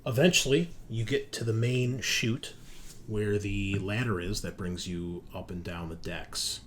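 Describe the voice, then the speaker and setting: Low-voiced male game master. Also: measured pace, a bit bright, slight background noise. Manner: informal, explanatory, swears casually. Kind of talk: casual tabletop game narration.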